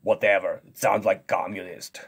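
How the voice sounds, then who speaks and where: A man's voice: low voice, flat delivery, normal speed, husky. A man voicing a vain, dim-witted, boastful strongman in a pompous, sulky manner for a comic kids' lesson. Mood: dismissive. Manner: pompous, loud, sulky and dismissive.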